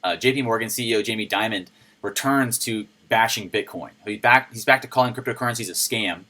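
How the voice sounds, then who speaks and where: A man with a low voice hosting a casual crypto news video, reading and commenting on articles in a chatty, playful way. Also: brisk. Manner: chatty and playful, with sudden shouted jokes.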